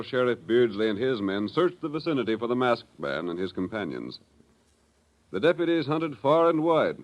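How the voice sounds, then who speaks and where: A man's voice: medium pitch, dull audio. A man delivering dramatic, theatrical scripted narration in the manner of 1930s radio. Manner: urgent, theatrical storytelling. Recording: noisy vintage 1930s radio recording.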